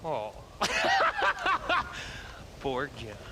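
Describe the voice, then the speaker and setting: An actor playing a mocking, hot-tempered outlaw gang boss in a Western video game, with an American accent and a taunting, drawn-out delivery. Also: male voice, sits high, huge pitch swings, heavy background noise, heavily acted. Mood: gloating, taunting. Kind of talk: dramatic video game cutscene acting.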